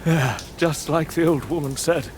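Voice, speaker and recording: An actor playing a proud, tormented, weary lord in a gothic audio drama, speaking in halting, archaic, theatrical phrases. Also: male voice, a little high, steady tone, slight background noise, lightly acted. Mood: brooding, morbid wonder.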